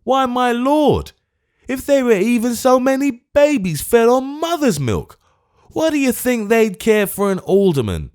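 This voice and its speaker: A man voicing a choleric, frightened old gentleman who pleads in a shrill, frantic way. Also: lively intonation, measured pace, hardly any pauses. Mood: pleading, indignant. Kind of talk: audiobook character voice.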